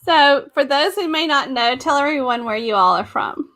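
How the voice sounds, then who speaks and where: A woman's voice: high-pitched, animated, normal speed, a bit bright. A woman hosting a live video interview, asking friendly questions and laughing often. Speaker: host.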